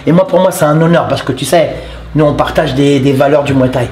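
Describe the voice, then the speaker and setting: A man hosting a casual interview, effusive and warm in his thanks, often restarting his sentences. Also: a little high, fairly quick, roomy sound, a crowd in the background. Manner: effusive, repeats thanks and compliments, many restarts. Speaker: interviewer and channel host.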